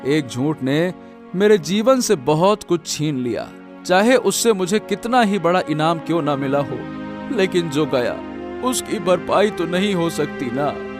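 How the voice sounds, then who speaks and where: Male actor as poor village husband, cartoon story, humble, principled, hesitant, slow pace, lively swooping pitch. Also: music in the background. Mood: resolute. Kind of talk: Hindi cartoon story voice acting.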